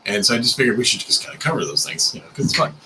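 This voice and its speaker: Animated, bright-voiced male host. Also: medium pitch, a little hiss or hum, rough recording. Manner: playful, joking, quick to laugh. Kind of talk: casual video call interview. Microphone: video call mic.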